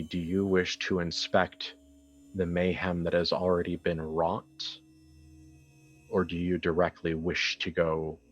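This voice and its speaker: A man narrating a horror tabletop game as game master in a deliberate, dramatic, halting way, with improvised phrasing. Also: sits low.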